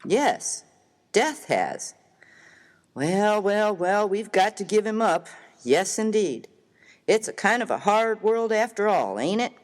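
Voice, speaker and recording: A rough American frontier miner voiced by a man, folksy and slangy in a comic story reading. His pitch swings widely as he makes each point emphatically. The recording rings with a long, hall-like reverb. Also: very animated, slow, heavily acted. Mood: mournful, admiring. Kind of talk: dramatized reading of a humorous story.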